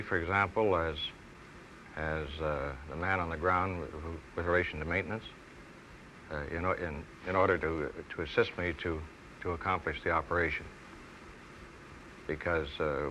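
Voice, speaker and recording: Middle-aged male ex-pilot, extremely low voice with a sharp edge, formal and halting in interview. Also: poor audio. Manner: halting, formal, careful wording. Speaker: former airline pilot. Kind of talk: measured interview. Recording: faint noise, 1970s archive TV film.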